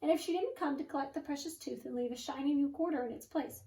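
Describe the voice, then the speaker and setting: A woman reading a children's storybook aloud like a teacher, animated and engaging her listeners. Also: high-pitched, quick pace, far from the mic. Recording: roomy echo, away from mic.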